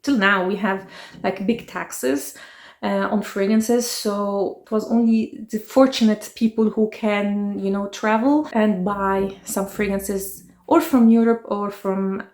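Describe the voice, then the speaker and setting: A woman's voice: medium pitch, fairly even intonation, slow, darkish tone. A woman in her forties from Egypt, hosting a chatty, personal vlog about her life in fragrances. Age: 40s. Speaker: fragrance channel host. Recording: echoey room.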